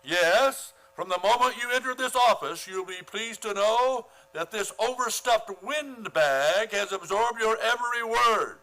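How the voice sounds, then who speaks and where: A man acts out a blustering, imperious school principal for an old-time radio comedy. His voice is high for a man and sharply forceful, with pompous, formal diction. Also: unhurried, hardly any pauses, exaggerated voice, low-quality recording. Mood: smugly menacing. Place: theater stage, radio play performance.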